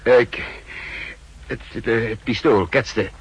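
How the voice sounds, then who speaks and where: An actor playing a weary counterspy who gravely recounts his own wounded mission in a 1960s radio drama. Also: mid-range voice, slow, full character voice. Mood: strained, businesslike. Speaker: narrator and lead actor. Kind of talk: 1960s Dutch radio drama acting and narration. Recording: hissy, humming 1960s radio recording.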